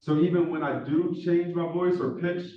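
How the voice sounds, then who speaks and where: Fast-talking Philly man lecturing a class. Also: a little high, far from the mic. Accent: Philadelphia. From Philadelphia, USA. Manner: talks fast with many fillers, says he is monotone. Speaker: instructor. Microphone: far room microphone. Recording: echoey classroom recorded from afar, dull sound.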